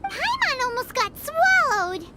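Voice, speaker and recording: A woman voicing a tiny, cheeky, excitable girl companion with a squeaky childlike voice in a video game. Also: measured pace, slight background noise, heavily acted. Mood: startled, indignant. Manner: speaks of self in third person, exclaiming.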